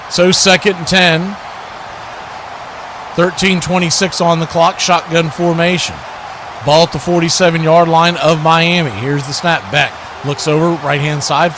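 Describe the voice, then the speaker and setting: A man doing live play-by-play football commentary for a stream, in clipped phrases that grow excited on big plays. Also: on the high side, background noise.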